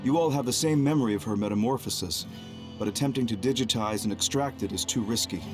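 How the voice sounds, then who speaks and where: A man playing a composed, calculating young double agent in a video game, speaking in a measured, formal, grave way. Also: medium pitch, over music, close to an everyday voice. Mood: calm, businesslike. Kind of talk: anime-style video game cutscene acting.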